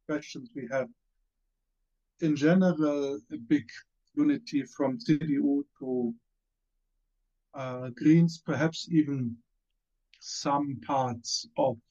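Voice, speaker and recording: A man's voice: medium pitch, takes it slowly, long pauses, a little mellow. German-accented man, muffled call audio. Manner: hesitant, many fillers and restarts. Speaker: panelist, former member of parliament. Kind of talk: online panel discussion. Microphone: video call.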